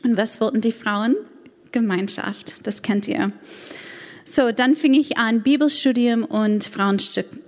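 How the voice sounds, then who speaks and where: A woman with an American accent, a native English speaker, shares a testimony at church, speaking slowly and deliberately. Her fairly high voice carries earnest care, with an occasional grammar slip. The sound rings with the long reverb of a big hall. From United States. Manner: slow, earnest, careful delivery with occasional grammar slips. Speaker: church women's ministry leader. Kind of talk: personal testimony talk.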